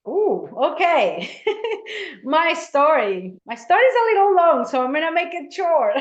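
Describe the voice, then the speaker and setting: Woman, bright and chatty. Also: high voice, sing-song, a little slow. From Colombia. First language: Spanish. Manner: laughs easily, earnest and chatty. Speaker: guest, real estate agent. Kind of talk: casual interview talk. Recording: echoey, dull-sounding room.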